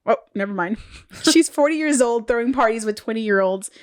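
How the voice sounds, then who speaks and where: A woman's voice: fairly high pitch, expressive, normal speed. A woman co-hosting a podcast, casually reading revenge stories aloud and laughing often, sounding nasal from a cold. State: nasal, getting sick. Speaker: podcast co-host.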